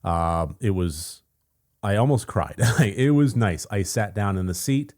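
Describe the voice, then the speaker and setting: Deep-voiced American man, gravelly from just waking up; chatty, rambling vlog host. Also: expressive, measured pace. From United States. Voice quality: gravelly morning voice. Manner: chatty and rambling, with fillers and soft laughs. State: just woken up, voice deeper than usual. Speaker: channel host. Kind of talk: casual vlog update.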